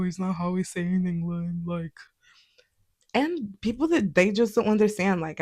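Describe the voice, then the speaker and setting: A woman's voice: lowish voice, darkish tone. A young woman with a Portuguese accent, a native Portuguese speaker, talking candidly in a conversational, reflective personal vlog. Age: young adult. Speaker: vlog host.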